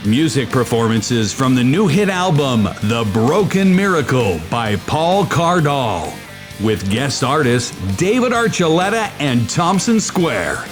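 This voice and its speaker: A man's voice: medium pitch, big pitch swings. A man reading a scripted show introduction as a voice-over announcer. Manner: measured, announcing. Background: guitar music.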